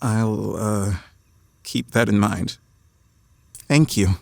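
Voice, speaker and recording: A man's voice: fairly low pitch, steady tone, gravelly, darkish tone, no room sound, exaggerated voice. Grumpy, wry man of science. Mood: dry, awkward. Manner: sardonic, halting when emotional. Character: grumpy, wry male fossil scientist, grieving his colleague. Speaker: actor in an audio drama. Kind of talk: audio drama acting.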